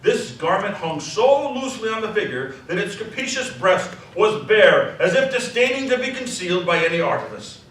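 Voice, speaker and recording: A man narrating a scripted story dramatically, savoring the descriptive words with expressive delivery. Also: sits high, normal speed, few pauses. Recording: echoey hall, distant mic, faint noise.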